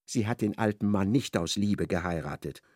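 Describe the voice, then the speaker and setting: A man's voice: on the low side, moderate pace, a little mellow, no echo, studio quality. A man narrating a literary radio play in a theatrical manner, retelling conversations in reported speech.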